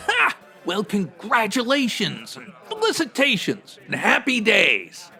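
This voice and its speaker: A breezy hustler who manages a strip club in a radio detective comedy. His voice is high for a man and gravelly, swooping about as he rolls out hipster slang and florid big words. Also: huge pitch swings, unhurried, sharp tone, soft background music, full character voice. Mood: boastful, jovial. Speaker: actor in a comedy audio drama. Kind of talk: comedic old-time radio detective acting.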